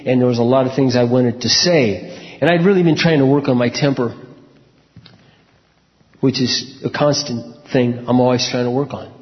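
A man preaching a sermon and telling personal stories in a frank, conversational way, often clearing his throat. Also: mid-range voice, normal speed, long pauses. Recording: echoey hall, dull lo-fi sound.

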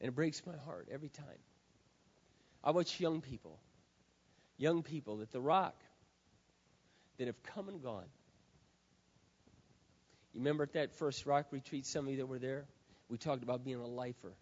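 A man preaching a sermon as pastor, hoarse, swinging from quiet earnest talk to loud impassioned shouting. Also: mid-range voice, moderate pace, pauses often. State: hoarse, says he has lost his voice. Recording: dull sound with long hall reverb.

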